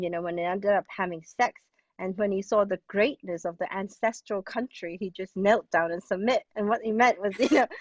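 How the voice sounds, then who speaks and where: A woman's voice: on the high side, background noise. A woman from Hong Kong chatting casually as an interview guest, her talk full of "like" and "you know". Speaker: interview guest, playwright and translator. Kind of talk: casual conversation.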